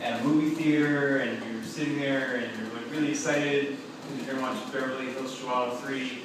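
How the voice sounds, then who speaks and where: Rambling, swearing young stand-up comic. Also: male voice, mid-range voice, steady tone, brisk. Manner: rambling, self-mocking, heavy filler words and swearing. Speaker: stand-up comedian. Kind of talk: stand-up comedy set. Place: comedy club stage. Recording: echoey room recorded from a distance with noise.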